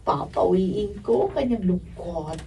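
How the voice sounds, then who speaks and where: A very mellow, soft-edged voice of a lovestruck young woman, played by an actress, bold and coquettish with a pouty yearning. It is radio drama acting, recorded at a distance with some room noise. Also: mid-range voice, sing-song, unhurried, gravelly. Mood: scheming, hopeful. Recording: muffled distant mic, noisy room.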